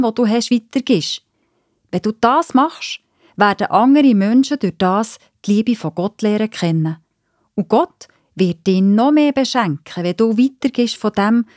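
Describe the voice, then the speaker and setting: A woman's voice: mid-range voice, darkish tone, dry recording, clean studio sound. A woman with a Swiss German accent narrating a children's story. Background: music.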